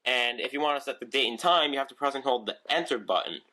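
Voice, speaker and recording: A man with a crisp, bright voice talks through his collection of radios in a loose, chatty way. He rambles, restarts sentences and fills gaps with ums, like someone filming a casual home video. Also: mid-range voice, fast talker. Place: room at home with shelves of radios.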